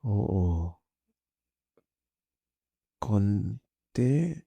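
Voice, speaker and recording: A man with a Filipino accent playing a jealous, clingy, soft-hearted boyfriend in an intimate ASMR roleplay, speaking softly and hesitantly in a mix of two languages. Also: fairly low pitch, lively intonation, extremely slow, vocal fry, slightly rough, dry recording, heavily acted. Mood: shy, vulnerable. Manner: soft, hesitant, Taglish code-switching.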